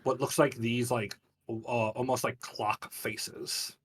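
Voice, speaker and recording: A man's voice: medium pitch, flat delivery, takes it slowly, far from the mic, a little hiss or hum. A man working as game master, telling a tabletop fantasy story in descriptive, dramatic detail. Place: live online game stream.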